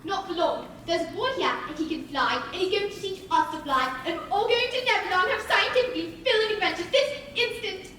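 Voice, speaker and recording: A woman's voice: very high voice, fast, few pauses, a bit bright, far from the mic. A woman playing an earnest, determined girl who loves science, articulate and proper in theatrical stage acting. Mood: excited, decisive. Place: theatre stage, recorded from a distance. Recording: echoey stage recording from afar, faint noise.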